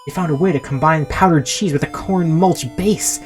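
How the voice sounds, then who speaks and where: Excitable working-man hero, voiced by a male narrator: earnest, panicky, exclamatory storybook delivery with music underneath. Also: a little high, fairly quick, pitched above the performer's own voice, close to an everyday voice. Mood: excited. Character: excitable, earnest male snack-factory worker, easily panicked.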